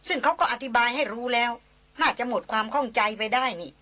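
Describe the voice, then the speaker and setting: A woman reading the part of a calm young man on a hunting trek, speaking evenly and dryly. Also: high-pitched, slow, a little hiss or hum, pitched above the performer's own voice, full character voice. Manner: calm, even, dry.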